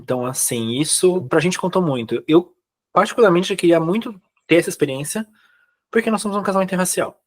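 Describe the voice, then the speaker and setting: Brazilian man telling his story, relaxed. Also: high-pitched, brisk. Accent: Brazilian Portuguese. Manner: relaxed storytelling with fillers and quoted dialogue. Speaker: guest. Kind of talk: casual interview chat.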